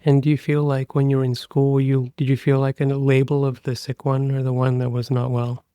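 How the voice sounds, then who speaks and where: A man, a physician hosting a podcast, reading a formal introduction and asking interview questions in a measured way. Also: mid-range voice, monotone, dark tone, clean tone, no room sound, clean studio sound.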